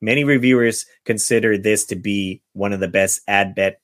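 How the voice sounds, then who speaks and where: Low-voiced man hosting a podcast. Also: steady tone, normal speed, fairly bright tone, no room sound, clean studio sound. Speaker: podcast host. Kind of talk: casual chat and reading out movie reviews.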